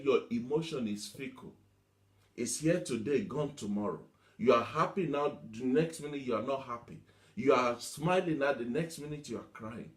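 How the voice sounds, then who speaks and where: A man preaching a motivational teaching sermon, emphatic, repeating himself and asking rhetorical questions. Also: mid-range voice. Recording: echoey room.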